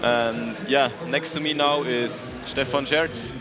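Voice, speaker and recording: A man's voice: mid-range voice, fairly even intonation, slow, crowd noise. A man commentating casually at a sports event in an informal, upbeat manner with non-native English phrasing. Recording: music playing behind the voice.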